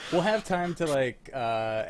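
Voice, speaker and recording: A man's voice: on the high side, background noise. Laid-back young man hosting a stream. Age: young adult. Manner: relaxed, rambling, self-correcting. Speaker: host and sound designer of a live script-reading stream. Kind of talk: casual chat on a livestream. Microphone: video call.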